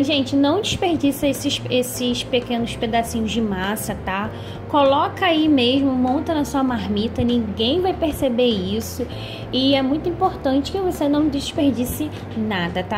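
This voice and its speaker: A woman's voice: high-pitched, noisy background. A Brazilian woman hosting a home cooking tutorial in a chatty voice-over, often checking in with "tá?". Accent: Brazilian Portuguese. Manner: chatty, frequent "tá?" check-ins. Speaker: cooking channel host. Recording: music bed underneath.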